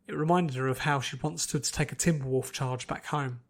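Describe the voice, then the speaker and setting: A man's voice: mid-range voice, steady tone, brisk. A man narrating a scripted fantasy story as an audiobook reader.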